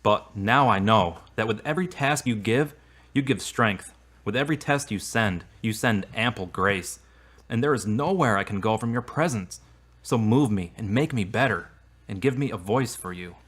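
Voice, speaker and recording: A man playing a devout, easily wounded boyfriend in an audio drama, earnest and expressive. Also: lowish voice, normal speed, slightly rough. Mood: prayerful, earnest. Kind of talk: acted audio drama dialogue.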